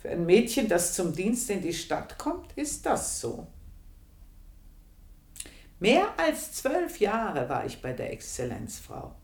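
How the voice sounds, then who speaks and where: An actress playing an aging, gossiping woman servant in a radio drama, confiding in a hushed voice that turns emphatic. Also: medium pitch, sing-song, slow. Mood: confiding, bitter. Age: older. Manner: confiding, hushed then emphatic, dwells on phrases. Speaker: narrating character. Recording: roomy radio studio sound.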